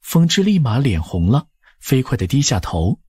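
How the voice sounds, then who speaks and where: A male audiobook narrator tells a period story from a script. His voice is husky with widely moving intonation, recorded close and dry. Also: medium pitch, very animated, normal speed, a little mellow, no room sound.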